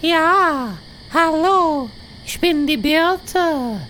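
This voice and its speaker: A woman's voice: sits high, expressive, very slow, fairly bright tone, slight background noise, heavily acted, low-quality recording. A performer voicing a screechy, scolding wife in a comedy sketch, played as a woman. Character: Birte, shrill nagging provincial wife in comedy sketch.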